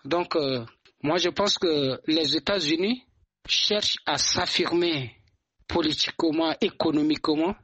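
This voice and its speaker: Man from Chad, husky voice, dry dull sound, measured, hesitant fillers, radio commentary. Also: on the high side, a bit bright, no echo. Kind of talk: testimony on a radio program.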